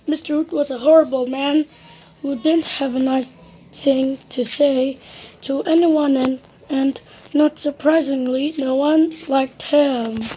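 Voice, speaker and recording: A woman reading a children's storybook aloud as narrator, in an expressive, deliberate way. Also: high-pitched, rough recording.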